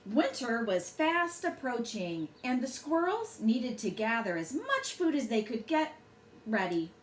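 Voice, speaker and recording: Woman, bedtime storyteller, expressive swooping intonation, slow deliberate phrasing, echoey room with faint noise. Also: on the high side. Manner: deliberate, dramatic phrasing for children. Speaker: children's storyteller, host. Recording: roomy echo, faint background noise.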